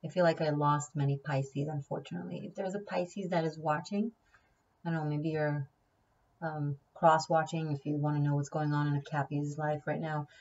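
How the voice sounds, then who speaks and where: A woman's voice: deep voice, level tone, normal speed, a little mellow, distant mic, dull audio. A woman whose first language is Hebrew, giving a casual tarot reading, chatty and thinking aloud, often repeating key words. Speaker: tarot reader.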